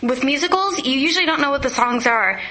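A woman's voice: high-pitched, animated, quick pace, pushed and bright, poor audio. A teenage girl giving an enthusiastic, informal movie review. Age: teen.

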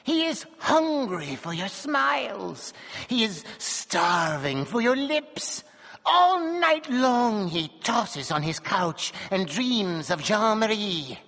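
A man voicing a proud male dwarf suitor with a shrill, high voice, in a reverberant space. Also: sing-song, slow pace, creaky, bright, forceful tone, exaggerated voice. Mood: pleading. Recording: long hall reverb.

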